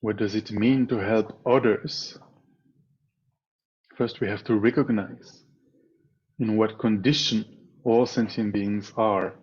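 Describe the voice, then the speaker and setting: A man leading a guided meditation as a teacher, speaking in a measured way with pauses between short phrases over a slightly dull video call. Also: fairly low pitch, fairly even intonation, a little mellow, breathy edge. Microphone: video call microphone.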